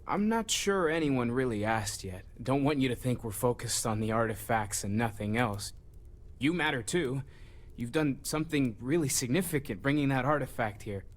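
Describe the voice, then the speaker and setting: An actor playing a kind, earnest scholar turned space explorer in a video game, speaking in a gentle, conversational way. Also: male voice, mid-range voice, expressive, normal speed, close to an everyday voice. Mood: caring. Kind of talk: video game character dialogue.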